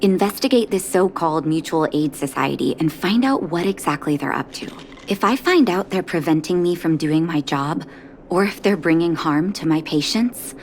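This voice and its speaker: An actress voicing a composed, caring and quietly firm woman doctor in a video game, measured and polite. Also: on the low side, a little hiss or hum. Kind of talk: video game character acting.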